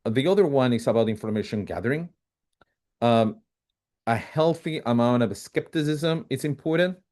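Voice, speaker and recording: Guy on a video call, lots of ums and restarts, mid pitch. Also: lively intonation, darkish tone. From Chile. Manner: halting, many fillers and restarts, quick bursts. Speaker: advocacy presenter. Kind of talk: policy presentation on a video call.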